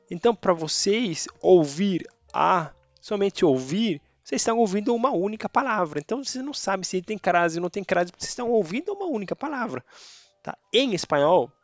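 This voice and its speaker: A man's voice: high voice, dry recording, highs cut off. A man whose first language is Spanish, teaching Spanish grammar in a casual online lesson and checking in often with his viewers. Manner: chatty, checks in with tá and beleza.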